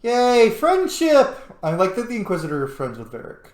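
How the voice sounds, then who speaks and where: A man's voice: extremely high pitch, huge pitch swings, normal speed, darkish tone. A man giving casual, informal gameplay commentary, reacting aloud to game characters. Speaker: let's play streamer. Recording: echoey room.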